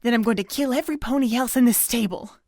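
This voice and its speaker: A woman playing a scheming, menacing pony villain in an audio drama, taunting in a theatrical, drawn-out way. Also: on the high side, a little mellow, dry recording, clean studio sound, lightly acted. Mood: cold menace.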